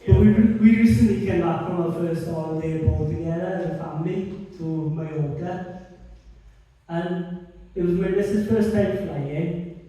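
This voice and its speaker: A young Welsh man doing a stand-up set, telling stories deadpan and building to loud punchlines. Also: high-pitched, moderate pace. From Wales. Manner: deadpan storytelling with rising punchlines. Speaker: stand-up comedian. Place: comedy gig venue with audience. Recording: echoey hall from far off.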